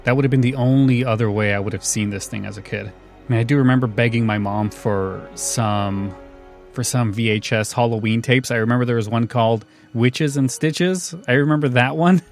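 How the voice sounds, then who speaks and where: A man's voice: mid-range voice, fairly even intonation, moderate pace, soft background music. A man hosting a podcast in a casual, chatty style. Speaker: podcast host.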